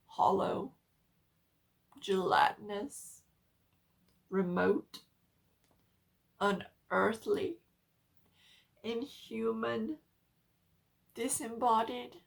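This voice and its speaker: A woman narrating a horror story as a dramatic reading, theatrical, with halting pauses for effect. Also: mid-range voice, steady tone, gravelly.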